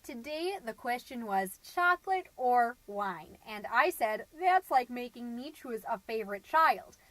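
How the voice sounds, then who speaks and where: A woman's voice: on the high side, expressive, a little slow, fairly bright tone, dry recording, poor audio. A woman playing a chirpy, gossipy suburban stay-at-home mom who hosts a homemade comedy podcast in a folksy, rambling, self-satisfied way. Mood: rambling, chatty. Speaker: podcast host.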